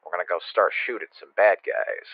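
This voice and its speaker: A man's voice: low voice, a little fast, dry recording. Tinny radio-filtered man as wry game instructor. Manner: casual, sardonic. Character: impatient, wry male military training instructor. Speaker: tutorial instructor. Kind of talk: video game tutorial narration. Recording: thin, dry radio-like band-limited sound.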